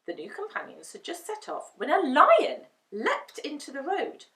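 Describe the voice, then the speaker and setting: Woman reading a kids' story, bright and super animated, echoey room. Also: high voice, moderate pace. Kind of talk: expressive children's storybook narration. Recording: roomy, distant microphone.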